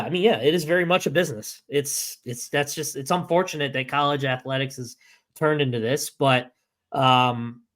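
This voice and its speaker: A hoarse man, sick for days and now losing his voice, talking through a casual sports podcast. He stops and restarts often, pushing on in uneven bursts. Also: fairly high pitch, fairly bright tone. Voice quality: halting, many restarts and fillers. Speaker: podcast co-host.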